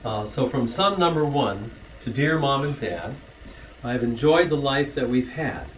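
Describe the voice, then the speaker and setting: Middle-aged guy reading his poem to his folks, mid-pitch, earnest, little stumbles, picked up by a camcorder across a church hall. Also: expressive, unhurried, recorded from a distance, a little hiss or hum. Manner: earnest, with small stumbles and soft laughs. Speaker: son reading an anniversary poem. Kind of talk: reading his own rhyming poem aloud.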